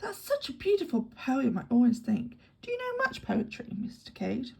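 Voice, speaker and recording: A woman's voice: wildly swooping pitch, moderate pace, gravelly, slightly rough, heavily acted. A woman voicing a gushing, skittish young lady tourist. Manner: gushing, giggly, shrill. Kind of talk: audiobook character voice.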